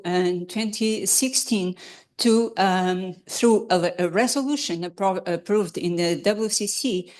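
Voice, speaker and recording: A woman giving a conference keynote, pausing often with fillers and restarts. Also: fairly low pitch. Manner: hesitant, many fillers and restarts. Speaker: keynote speaker. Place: conference hall.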